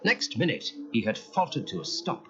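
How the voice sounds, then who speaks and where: Man narrating a kids story tape, dramatic n expressive, big swings in pitch, slightly dull old cassette sound. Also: moderate pace, soft background music. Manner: theatrical, expressive. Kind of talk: dramatic scripted children's storytelling. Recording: muffled cassette transfer.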